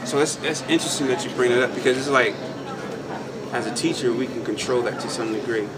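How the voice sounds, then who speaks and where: Young guy thinking out loud, repeats himself, mic far away, tons of chatter behind. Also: mid-range voice, fairly even intonation. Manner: halting, thoughtful, repeats phrases. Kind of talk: casual group discussion of faith. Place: large open indoor lounge. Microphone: camera mic a few feet away.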